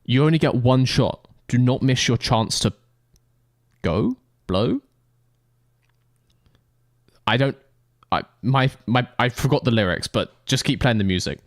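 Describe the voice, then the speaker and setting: A male streamer narrating a racing game live, playful and quick to joke with his viewers. His pitch swings widely as he talks. The sound is close and dry. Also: lively intonation, no room sound. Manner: playful, jokey, talks to chat. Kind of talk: live gameplay commentary.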